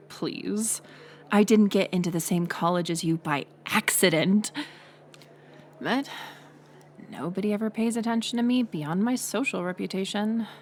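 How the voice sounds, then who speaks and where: A young woman playing a mocking college bully in an audio roleplay, sarcastic and teasing, with a hidden softer side. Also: mid-range voice, unhurried, slight background noise, natural voice. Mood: defensive. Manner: sarcastic, teasing, scoffing with sighs and breathy asides. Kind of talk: audio roleplay acting, intimate one-sided dialogue.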